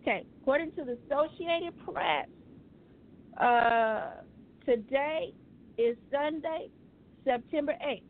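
A woman's voice: high-pitched, expressive, slow, pauses often, slight background noise. A woman hosting a casual radio talk show, loose and joking as she steers the segments. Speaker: radio host. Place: radio studio. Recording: tinny phone-like radio audio.

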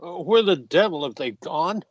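A man playing a skeptical, subdued passenger facing death in an audio drama, halting and grave. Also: a little high, lively intonation, no echo, dull audio. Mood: wary, fearful. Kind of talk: audio fantasy drama acting.

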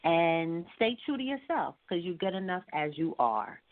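A woman singer speaking as a guest in a casual interview, halting and thoughtful as she gives her views. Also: fairly low pitch, big pitch swings. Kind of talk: casual radio interview, giving advice. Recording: tinny, phone-like narrow-band sound.

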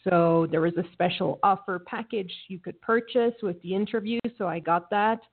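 A woman's voice: fairly low pitch, fairly even intonation, moderate pace, husky. Woman co-host on a tinny phone line. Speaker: co-host and facilitator. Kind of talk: casual online radio chat. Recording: phone-line call audio.

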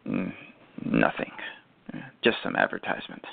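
An actor playing a weary, regretful older father in a radio drama, brooding and self-pitying, with halting, repeated words. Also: lightly acted. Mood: evasive, subdued. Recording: muffled phone-like audio.

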